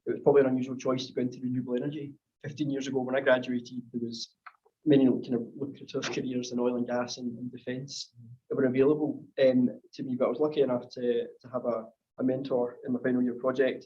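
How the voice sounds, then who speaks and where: A man's voice: mid-range voice, darkish tone, dull audio. A man in his late thirties speaking reflectively as a panel guest, in a casual way, over a video call. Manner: reflective, talking about his own path. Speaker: panel guest, renewable energy consultant.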